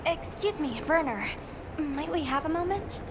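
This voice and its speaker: A woman's voice: high voice, lively intonation, a little slow, background noise. A woman playing an earnest, polite young expedition handler in a video game, courteous and articulate. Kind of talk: video game cutscene acting.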